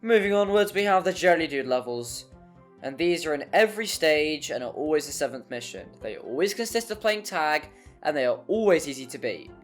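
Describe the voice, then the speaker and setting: A narrator delivers a scripted game review in a mid-pitched voice. The delivery is wry and prone to exaggeration, with some mild swearing, and music plays behind. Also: lively intonation, brisk. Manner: wry, mildly sweary, hyperbolic. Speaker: video essay narrator. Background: background music.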